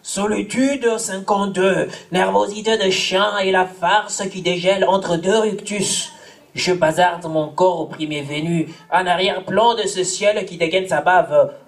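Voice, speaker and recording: A man from Congo whose first language is Swahili, performing his own poems live in a theatrical way, shouting and laughing between verses. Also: high voice, steady tone, unhurried, creaky. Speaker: poet reading own work.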